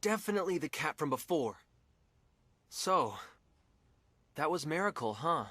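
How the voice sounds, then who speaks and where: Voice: mid-range voice, very animated, measured pace, fairly bright tone, no echo. An actor voicing a good-natured, hesitant young man, the easily flustered hero of a video game. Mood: sheepish. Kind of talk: English video game dub acting.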